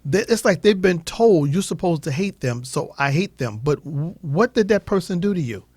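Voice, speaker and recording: Higher-pitched man, casual radio talk. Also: lively intonation, moderate pace, darkish tone, husky, no room sound, clean studio sound. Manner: affirming, repeats short phrases.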